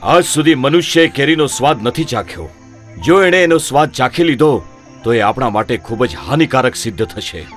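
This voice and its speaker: A man voicing a commanding, stately animal king in a children's animated story. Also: medium pitch, normal speed, soft background music, lightly acted. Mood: grave. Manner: stately, authoritative. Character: commanding male animal king, lion or monkey ruler.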